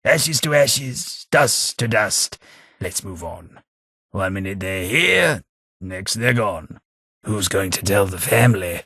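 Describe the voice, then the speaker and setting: Raspy, haughty old British ghoul. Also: male voice, on the low side, animated, slow, sharp tone, no room sound, studio quality, exaggerated voice. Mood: dry, weary. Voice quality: raspy, gravelly. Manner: pompous, formal, wry. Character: haughty, dry-witted old aristocratic male ghoul. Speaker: video game companion character. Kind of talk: scripted video game voice acting.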